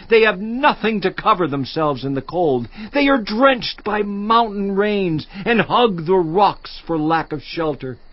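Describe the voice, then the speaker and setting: Anguished suffering man, audio drama, very slow, hushed and grave, dry dull studio sound. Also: high-pitched, dry recording. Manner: slow, grave, sinking to a hushed near-whisper. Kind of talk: dramatized scripture reading.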